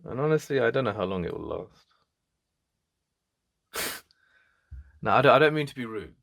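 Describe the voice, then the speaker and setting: A man with an English accent playing a jealous, protective best friend in an intimate ASMR roleplay, speaking in a halting, conversational way with emphatic repetition. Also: expressive, vocal fry, fairly bright tone. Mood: apologetic, insistent. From England. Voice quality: deep.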